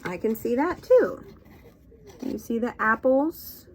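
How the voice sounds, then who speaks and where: Lady reading picture books to little kids, super slow and sing-songy, voice goes up and down a lot, asks questions like a teacher. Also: on the high side, slight background noise. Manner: sing-song, expressive, questioning, encouraging. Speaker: children's storytime reader and teacher. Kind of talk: interactive read-aloud to young children.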